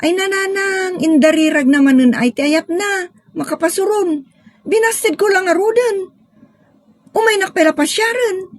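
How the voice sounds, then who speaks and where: A woman's voice: extremely high pitch, moderate pace, no room sound, clean studio sound. A woman playing an emotional, lovelorn young daughter with a plaintive manner in a sentimental audio drama. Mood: exasperated.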